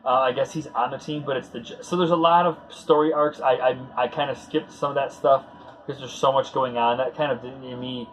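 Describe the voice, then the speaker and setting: A man's voice: mid-range voice, fairly even intonation, a little fast, low-quality recording. A man hosting a comic book read-along, giving casual commentary in a rambling, conversational, fan-like way.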